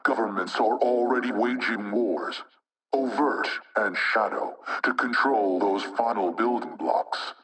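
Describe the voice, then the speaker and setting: An actor playing an anonymous male hacktivist spokesman in a video game propaganda broadcast, speaking in a measured, rhetorical, insinuating way with menace. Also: on the low side, fairly bright tone, recorded from a distance, low-quality recording. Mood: accusing.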